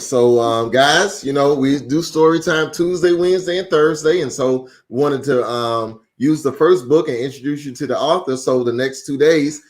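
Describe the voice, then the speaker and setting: A man, a pastor, hosting a story time and chatting with a guest, encouraging and speaking to children directly. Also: medium pitch. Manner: friendly, encouraging, addresses kids directly. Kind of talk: casual conversation.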